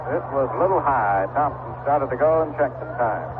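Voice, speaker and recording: A man's voice: medium pitch, lively intonation, brisk. An American man calling baseball play-by-play on a 1970s radio broadcast. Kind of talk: 1970s live radio sports commentary. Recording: noisy, tinny vintage AM radio broadcast.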